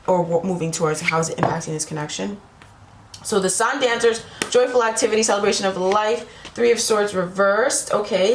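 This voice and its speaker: A woman's voice: medium pitch, a little hiss or hum. A woman giving a casual tarot reading to her viewers, talking in a rambling way with frequent "right?" asides while losing her voice. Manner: rambling, repeats "right?" and "you know?" to the viewer. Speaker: tarot reader. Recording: echoey room with faint ambience.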